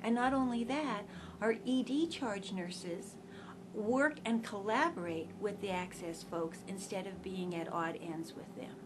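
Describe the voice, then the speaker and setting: A woman's voice: mid-range voice, a little slow, noisy background. A woman in hospital management giving an explanatory testimonial interview. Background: music.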